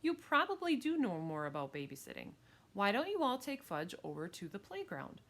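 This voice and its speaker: A woman's voice: medium pitch, huge pitch swings, normal speed, pitched above the performer's own voice. A woman voicing a harried, affectionate, anxious mother in a children's audiobook, fretful and coaxing. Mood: hesitant.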